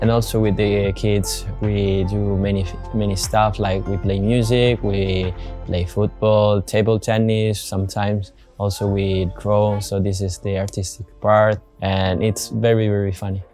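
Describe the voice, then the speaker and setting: Italian volunteer in his twenties, lowish voice, earnest testimonial over faint music. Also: little pitch movement, unhurried, mellow. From Italy. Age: 20s. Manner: earnest, simple phrasing in non-native English. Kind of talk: interview testimonial.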